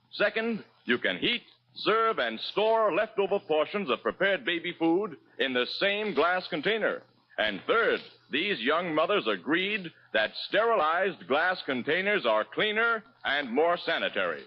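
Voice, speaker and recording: A man reading a scripted 1940s radio commercial as a measured, emphatic announcer. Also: a little high, big pitch swings. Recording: muffled vintage radio recording.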